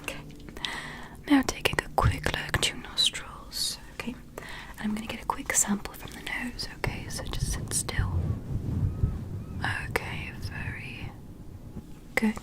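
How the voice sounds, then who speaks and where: A gentle female alien physician, played by a woman, conducts a sci-fi ASMR exam in a soft whisper. Her voice sits a little high and moves very slowly. She is polite and methodical, explaining and asking with meticulous care. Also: wildly swooping pitch. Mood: calm, attentive. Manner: slow, gentle, methodical, questioning. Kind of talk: whispered ASMR medical roleplay.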